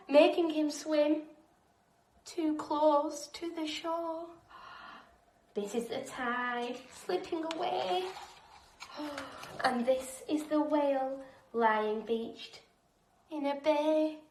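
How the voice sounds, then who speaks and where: Female primary teacher for picture-book read-aloud: high, unhurried, animated delivery in an echoey space. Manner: animated, dramatic emphasis with audible gasps. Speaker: primary school teacher reading to children. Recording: roomy, echoing indoor sound.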